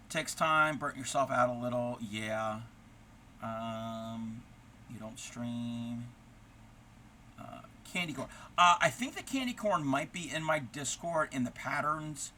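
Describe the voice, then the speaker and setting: Male crafting-stream host, casual chatter, patient step-by-step stitch explainer, voice going scratchy, very bright and sharp tone, faint noise behind. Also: mid-range voice. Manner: chatty, explains stitches step by step, reads out and answers viewer comments. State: voice turning scratchy, losing his voice. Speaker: crochet livestream host. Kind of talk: casual chat while crafting, answering viewers.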